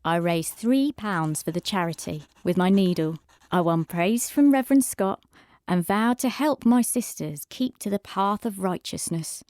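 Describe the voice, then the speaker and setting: A woman's voice: fairly low pitch, unhurried, a little mellow, dry recording, studio quality, close to an everyday voice. A woman playing a prim, virtuous seamstress and outsider in a period audio drama, earnest and proper. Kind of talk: period radio drama acting with narration.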